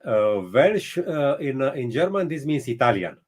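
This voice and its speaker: A man's voice: medium pitch, animated, moderate pace, slightly rough. Hesitant man speaking learned English. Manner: hesitant, many fillers and restarts; speaks English as a later language. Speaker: chess guest. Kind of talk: casual interview answers.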